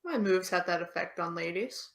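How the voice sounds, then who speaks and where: A woman's voice: on the low side, normal speed, creaky. Woman acting sardonic young American woman, Eve. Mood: teasing, intimate. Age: young adult. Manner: dry, teasing, deadpan. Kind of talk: audio drama dialogue.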